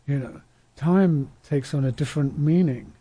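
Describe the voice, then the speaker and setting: Male panelist, mellow raspy tone, dry mic; thoughtful storyteller. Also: a little high, moderate pace, dry recording. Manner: thoughtful, anecdotal, with frequent restarts. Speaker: panel discussion speaker. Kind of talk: reflective panel talk.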